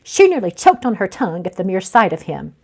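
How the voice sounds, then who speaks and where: A woman's voice: mid-range voice, sing-song, a little fast, creaky, mellow. Woman reader as female ghost, dry, fretful, cautious, swooping intonation, storybook character.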